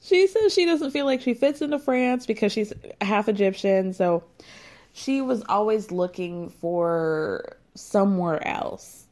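Young woman, casual gossip commentator, sarcastic and dishy, relaxed dark timbre. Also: medium pitch, unhurried. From United States. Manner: sarcastic, gossipy, lots of fillers and laughs. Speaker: commentator recapping a reality dating show.

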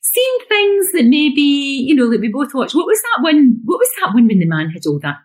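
A woman's voice: sits high, moderate pace. A woman in her sixties with a Glasgow accent, a guest chatting casually and laughing often. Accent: Glaswegian. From Scotland. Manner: animated, laughing, exclamatory. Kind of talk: casual chat.

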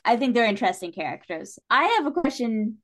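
A woman's voice: fairly high pitch, big pitch swings, a little fast, a bit bright. Casual chatty woman, podcast guest. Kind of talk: casual chat.

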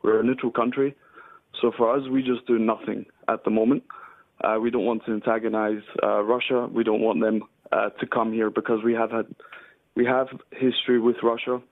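A man with an Ayrshire Scottish accent calling in to a radio show, earnest and hesitant, pausing often. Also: medium pitch, level tone, no room sound. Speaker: radio caller. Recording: tinny dry phone line.

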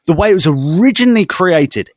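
A man's voice: sits high, lively intonation, brisk, no echo, studio quality. A man hosting a podcast, chatting enthusiastically with stumbling restarts. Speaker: podcast host. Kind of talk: casual podcast chat.

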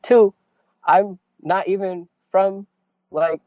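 A man from California, a poet and activist, telling his story as an interview guest in an animated, casual way, often saying "like" and "right?". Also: high voice, slow, dry recording. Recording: tinny phone-like call audio.

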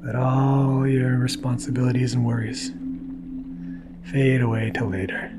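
A man hosting a sleep podcast, speaking in a soothing, gentle, unhurried way. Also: mid-range voice, little pitch movement, very noisy. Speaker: podcast host.

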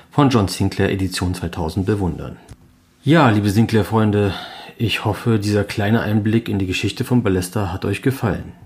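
A man's voice: deep voice, darkish tone. A man narrating a scripted documentary piece as the host of a fan podcast.

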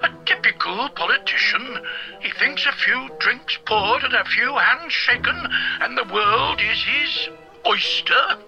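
A man's voice: extremely high pitch, slow, creaky, bright, forceful tone, lo-fi sound, soft background music, full character voice. An actor playing a wary, deferential male leader of doctors, speaking hesitantly and with dry reserve.

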